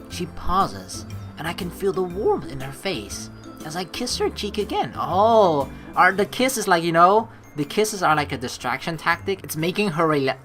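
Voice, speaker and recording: A young woman doing a playful let's play, switching between casual commentary and theatrical narration read aloud. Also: on the low side.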